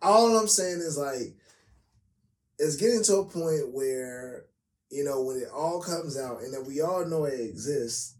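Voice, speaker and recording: An American man with a raspy voice co-hosting a podcast in casual, slangy, profane, opinionated chat. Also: medium pitch, expressive, measured pace. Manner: slangy, profane, repetitive emphasis. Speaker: podcast co-host. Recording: roomy echo, distant from mic.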